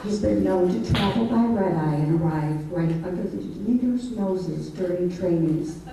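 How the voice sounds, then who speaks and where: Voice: medium pitch, normal speed. A presenter introducing a speaker on stage in a playful, teasing build-up. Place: large event hall. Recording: echoey hall, voice from far off, crowd noise.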